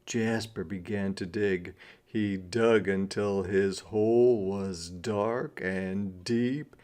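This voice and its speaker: A man reading a children's story aloud, with dramatic pauses and drawn-out key words. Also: on the low side, steady tone, a little mellow. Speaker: storybook reader. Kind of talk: children's read-aloud narration.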